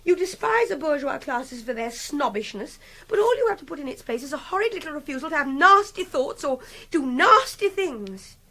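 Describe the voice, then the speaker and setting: A high-pitched young English woman in a radio drama, scornful and imperious. She is articulate and emphatic, a captive art student with a volatile, mocking edge. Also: very animated, moderate pace, hardly any pauses, pushed and bright, exaggerated voice. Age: young adult. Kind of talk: BBC radio drama acting.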